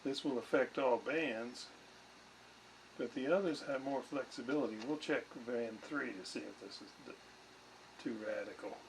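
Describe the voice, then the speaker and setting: A man's voice: mid-range voice, normal speed. Man muttering through hands-on work, noisy recording. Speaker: radio repair hobbyist demonstrating a restoration. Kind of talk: casual hands-on demonstration, thinking aloud. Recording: clearly noisy workshop recording.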